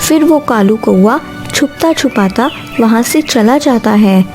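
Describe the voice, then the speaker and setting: A woman's voice: a little high, lively intonation, unhurried, over music. A woman narrating a scripted children's cartoon story.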